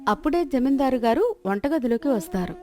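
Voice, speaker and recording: A woman's voice: high-pitched, animated, a little fast, dark tone, soft background music. A woman narrating a scripted moral story.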